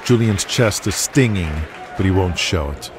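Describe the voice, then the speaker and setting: A man narrating a dramatic scripted audio story in a measured, suspenseful way. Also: low-pitched, animated, crowd noise. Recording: loud music behind the voice. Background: loud music.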